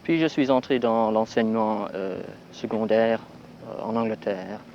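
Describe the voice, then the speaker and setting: A low-voiced man from England speaks hesitantly, pausing often on fillers as he answers questions. The sound is dull and faintly hissy, a 1960s radio archive. His tone stays calm and measured. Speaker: graduate student.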